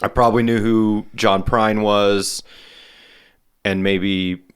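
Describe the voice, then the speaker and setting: A man in his forties hosting an interview in a loose, halting way, with casual swearing. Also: on the low side, flat delivery, clear, resonant tone. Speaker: podcast host.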